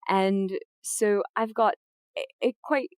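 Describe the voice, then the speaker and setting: A woman's voice: takes it slowly, dry recording. Playful giggly woman on a dry mic. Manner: playful, giggly, bursts into high excited tones. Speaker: guest, voice actress and streamer. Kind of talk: casual podcast chat.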